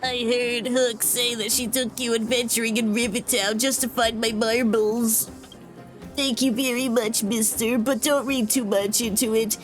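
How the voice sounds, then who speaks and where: Eager little boy game character voiced by a woman, highish pitch, earnest and a bit cocky, music underneath. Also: fairly even intonation, unhurried, close to an everyday voice. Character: earnest, cocky young boy, adventure-loving kid. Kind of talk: video game voice acting.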